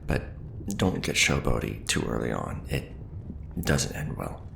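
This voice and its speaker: A man doing a soft-spoken ASMR roleplay as a veteran craftsman, patiently and encouragingly instructing an apprentice in measured phrases. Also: rumbling low, big pitch swings. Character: veteran mask maker patiently training a new apprentice. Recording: roomy echo with clear background noise.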